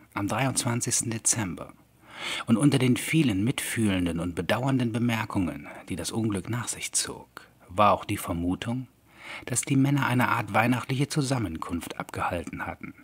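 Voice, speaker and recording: A man narrating a scripted horror audiobook in a measured, deliberate storytelling manner. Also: lowish voice, breathy edge. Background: soft background music.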